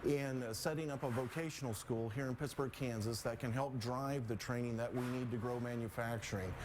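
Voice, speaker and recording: Man interviewed over clear background noise. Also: mid-range voice, fairly even intonation, brisk. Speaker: local interviewee. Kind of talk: interview soundbite. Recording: noisy on-location interview.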